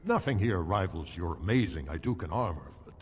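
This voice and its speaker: An actor playing an eager, deferential dwarf merchant in a fantasy game, polite to the point of fawning. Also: male voice, deep voice, big pitch swings, fairly quick, slightly rough, heavily acted. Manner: obsequious, polite. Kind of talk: fantasy video game voice acting. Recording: reverberant stone hall.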